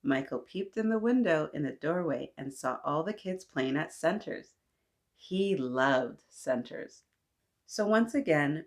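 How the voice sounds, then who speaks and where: Low-voiced woman reading children's stories aloud. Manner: animated asides to young listeners. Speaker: children's story reader and host. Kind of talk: expressive read-aloud storytelling for children.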